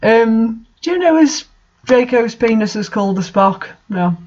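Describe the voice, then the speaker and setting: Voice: medium pitch, lively intonation, a little slow, a little mellow. A reader narrating fan fiction and commenting on it casually, with an incredulous, sarcastic, sweary manner. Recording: dull lo-fi home recording.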